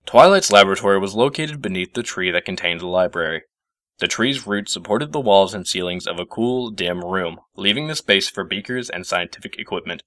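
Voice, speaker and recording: A man's voice: sits low, expressive, a little fast, fairly bright tone, no echo. A man narrating a scripted story as its reader.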